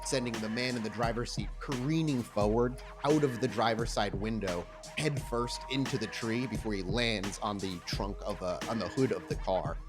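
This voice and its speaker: A man's voice: lowish voice, moderate pace, few pauses. A man working as a game master, improvising descriptive, conversational narration for a tabletop roleplaying game. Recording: background music.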